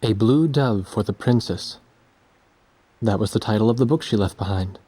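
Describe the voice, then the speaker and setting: A male actor playing an earnest, reflective war reporter in a game cutscene, measured and sober in delivery. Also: on the low side, mellow, husky. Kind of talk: scripted game cutscene narration.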